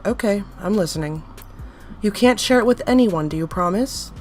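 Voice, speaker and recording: A woman's voice: mid-range voice, expressive, normal speed, lightly acted. A woman voices a guarded strange being in a horror story reading. It talks warily and suspiciously. Faint music plays behind. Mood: wary.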